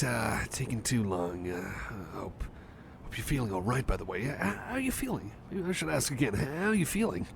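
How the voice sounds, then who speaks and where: A man's voice: mid-range voice, gravelly, fairly bright tone, slight background noise. A man playing a shy, friendly cyborg chum in an ASMR roleplay, hesitant and rambling, often apologising and repeating himself. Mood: friendly, nervous. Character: shy, friendly male cyborg chum, eager but self-doubting. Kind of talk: ASMR personal-attention roleplay, soft one-sided conversation.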